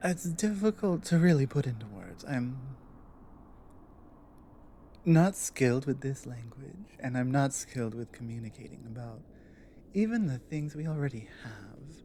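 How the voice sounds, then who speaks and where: A woman acts out a loyal, guarded orc warrior woman in an intimate ASMR audio drama. Her speech is slow and deliberate, with halting, plain, earnest phrasing. A light wash of ambient noise sits behind the voice. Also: very deep voice, expressive, warm tone, full character voice. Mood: hesitant, vulnerable.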